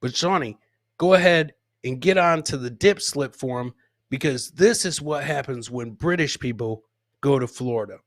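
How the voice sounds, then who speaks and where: An American man hosting a comedy podcast, loudly commenting on funny clips in a boisterous way, swearing freely and throwing out catchphrases. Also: medium pitch, normal speed, dry recording, clean studio sound.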